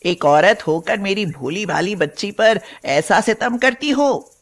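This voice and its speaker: A woman's voice: medium pitch, expressive, unhurried. A woman playing a protective middle-aged mother, emphatic and indignant, in a melodramatic cartoon moral story.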